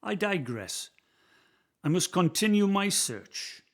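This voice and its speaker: A man's voice: sits high, very animated, takes it slowly, heavily acted. A man playing the ghost of an old road-mender poet with a Northumbrian accent, folksy and reassuring, reciting verse in a radio play. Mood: warm, folksy. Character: cheerful old roadman ghost, poetic, kindly. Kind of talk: community radio play acting.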